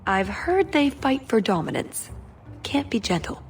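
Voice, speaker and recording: A woman playing a playful, quick-witted young elf adventurer in a scripted fantasy game. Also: medium pitch, very animated, slight background noise. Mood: thoughtful.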